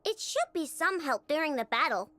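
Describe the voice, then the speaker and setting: A squeaky-high perky girl, voice leaping all over, game cutscene. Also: very animated, moderate pace, sharp tone, no room sound, heavily acted. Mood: playful. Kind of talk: video game voice acting.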